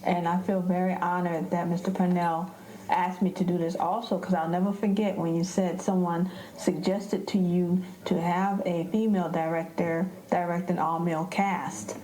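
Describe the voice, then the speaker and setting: A woman's voice: fairly low pitch, flat delivery, moderate pace, dark tone. A middle-aged woman, a stage director, chatting casually as an interview guest. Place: indoors at a theater. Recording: echoey room.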